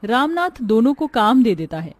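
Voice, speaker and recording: A woman's voice: a little high, big pitch swings, moderate pace, no room sound. A woman narrator reading a scripted moral story in a solemn storytelling manner.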